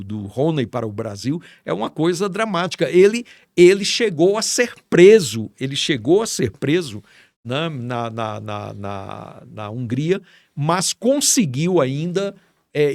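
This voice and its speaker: Middle-aged guy from ceará, excited storyteller, bit high-pitched, dry sound. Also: dry recording. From Ceará, Brazil. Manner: enthusiastic, admiring storyteller. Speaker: writer and guest. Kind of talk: storytelling in a casual interview.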